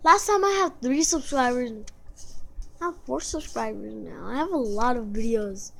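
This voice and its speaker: A woman's voice: fairly high pitch, animated, moderate pace, vocal fry. A woman hosting an amateur video with casual, unscripted chat in a childlike, repetitive manner. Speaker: amateur video host reacting to a video.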